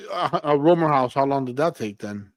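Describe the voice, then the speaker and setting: A man's voice: medium pitch, animated, moderate pace. A man hosting a casual interview over a video call, asking short, friendly questions. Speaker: podcast host.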